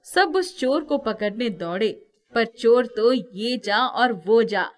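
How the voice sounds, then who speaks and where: A woman's voice: a little high, lively intonation, slow pace. A woman narrating a scripted moral tale as the storyteller.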